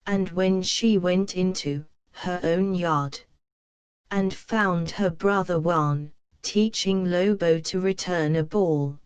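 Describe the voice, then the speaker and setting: A woman narrating a children's storybook in a slow, clear read-aloud manner. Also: fairly low pitch, no room sound.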